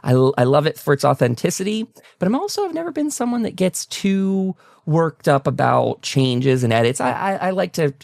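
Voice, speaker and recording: A man's voice: on the high side, sing-song, normal speed, gravelly, few pauses, a little mellow, no room sound, studio quality. A man hosting a podcast interview in casual, enthusiastic chat, rambling a little as he thinks aloud. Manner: enthusiastic, complimentary. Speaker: podcast host interviewing a guest.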